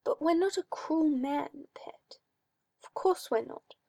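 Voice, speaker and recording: A woman's voice: high voice, creaky, warm tone, no echo, voice pushed up, heavily acted. A woman putting on a cooing, cruel male magister. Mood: cooing menace. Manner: cooing, drawn-out, mock-tender. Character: simpering cruel male magister, sly and possessive.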